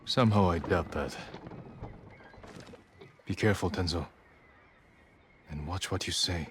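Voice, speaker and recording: An actor playing a guarded, honourable young samurai lord in a dramatic video game, speaking in a measured, earnest way. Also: male voice, low-pitched, a bit bright, a little hiss or hum. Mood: steady resolve.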